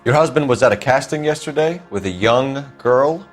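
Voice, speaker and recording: An actor playing a stern, formal 1940s police detective with a blunt, accusatory manner in a crime game. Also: male voice, mid-range voice, animated, normal speed, soft background music, natural voice. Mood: pressing.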